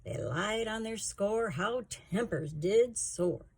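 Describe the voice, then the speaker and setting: A woman hosting storytime, reading a rhyming children's picture book aloud with expressive, rhythmic delivery. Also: medium pitch, slow pace, breathy edge. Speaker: storytime host, reader.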